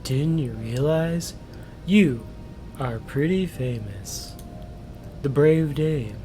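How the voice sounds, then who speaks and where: A man voicing a smug, teasing captive in a story, slow and condescending, with noise behind him. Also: warm tone. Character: smug, teasing male captive with strange spells, slow and mocking. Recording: clear background noise with low rumble.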